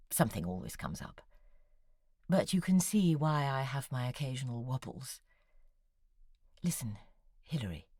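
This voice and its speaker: An actress playing Monica, a forty-six-year-old novelist who talks in a wry, confiding way and sometimes sinks to a near whisper. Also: female voice, a bass voice, a little mellow, husky, no echo, clean studio sound, exaggerated voice. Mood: anxious. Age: 40s. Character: Monica, a 46-year-old woman novelist, thrice married, wry and self-doubting. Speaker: writer. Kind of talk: audio drama acting, epistolary.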